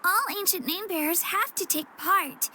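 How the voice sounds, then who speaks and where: A woman's voice: very high voice, moderate pace, sharp tone, a little hiss or hum, full character voice. A woman voicing an earnest, modest young warrior girl in a video game, youthful and a little hesitant. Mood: resigned, humble. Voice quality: youthful, girlish. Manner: earnest, self-effacing, hesitant. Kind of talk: video game character voice acting.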